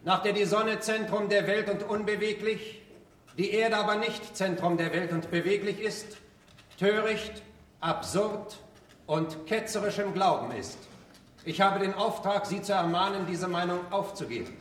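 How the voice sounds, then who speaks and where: A man acting a suave, authoritative cardinal in a German radio drama, speaking in formal, measured declamation. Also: high voice, fairly bright tone, a little hiss or hum. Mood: solemn, official. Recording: roomy, distant radio play recording.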